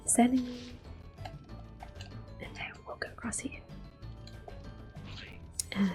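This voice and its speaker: A woman whispers her way through a game playthrough in ASMR style. Her delivery is slow and hushed, unhurried as she narrates each step, with faint game music behind her. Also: a little high, steady tone. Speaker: ASMR gameplay host. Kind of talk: soft-spoken ASMR game commentary with whispering.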